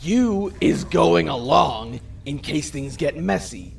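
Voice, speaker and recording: Man acting a brash, foul-mouthed gang boss vampire, animated. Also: fairly high pitch, slow, creaky, distant mic, a little hiss or hum, exaggerated voice. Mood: impatient lecturing. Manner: profane, sarcastic, bossy. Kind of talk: amateur audio drama acting.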